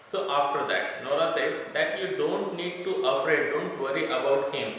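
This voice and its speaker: A man with an Indian accent teaching English literature, reading a play aloud and explaining it deliberately, repeating words and phrases for emphasis. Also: fairly high pitch, fairly bright tone. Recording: echoey hard-walled room, distant microphone.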